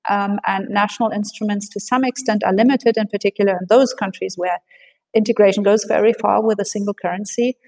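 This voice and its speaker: A woman speaking as an expert guest in an interview, answering in a measured, analytical way with frequent fillers and restarts. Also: mid-range voice, steady tone, a little mellow.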